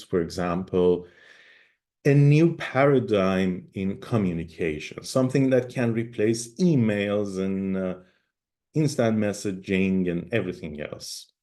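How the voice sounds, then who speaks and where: A middle-aged man, a native Persian speaker, giving an online conference talk in an emphatic lecturing manner with pauses and fillers. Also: fairly low pitch, mellow. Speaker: guest speaker.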